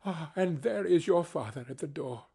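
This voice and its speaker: A man voicing a gentle, grieving mother in a storybook reading, bravely steady but faltering and repeating herself. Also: a little high, normal speed, vocal fry, breathy edge, voice pushed up, full character voice. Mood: steady, wistful.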